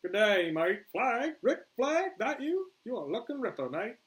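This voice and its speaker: A chummy Australian rogue, put on by a male reader, pitched high and swinging widely. He is cheeky and matey, with a broad attempted Aussie accent, like a comic-book audiobook scoundrel. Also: sing-song, a little slow, fairly bright tone, exaggerated voice. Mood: chummy, boastful.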